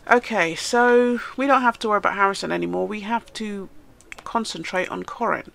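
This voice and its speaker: A woman with a bright, tense voice that has some gravel in it, chatting through a life-sim let's-play. She is stuffed up from a cold, so she sounds nasal, and she talks straight to the characters on screen. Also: mid-range voice, fairly quick. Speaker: gaming channel host. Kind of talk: casual let's-play commentary.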